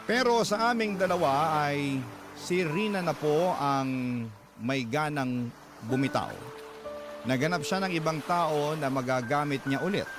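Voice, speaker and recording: A man playing a weary, long-suffering father, narrating his story earnestly in measured, scripted radio drama style. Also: fairly high pitch, natural voice. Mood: reflective, regretful. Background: background music.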